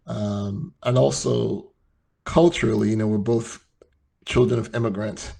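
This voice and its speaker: A man's voice: fairly low pitch, fairly even intonation, a little slow, vocal fry, mellow. A middle-aged man co-hosting a podcast in a casual, conversational chat. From United States and Nigeria. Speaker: podcast co-host.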